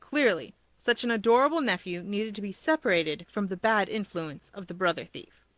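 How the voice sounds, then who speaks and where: A woman's voice: medium pitch, moderate pace, a bit bright, no room sound. A woman reading scripted fiction as a story narrator.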